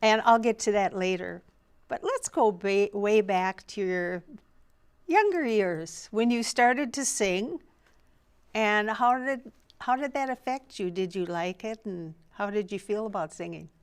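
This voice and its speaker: A woman hosts an arts show, welcoming her guest with a warm, effusive manner. Her voice is smooth and clear, set at a middle pitch. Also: unhurried. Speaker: arts reporter and host. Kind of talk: hosting an interview.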